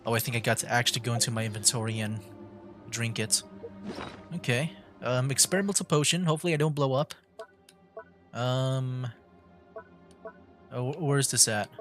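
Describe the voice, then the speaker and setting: A man's voice: medium pitch, normal speed, long pauses, fairly bright tone. A man livestreaming a game with casual, laid-back commentary, thinking aloud as he plays. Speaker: game streamer. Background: game music.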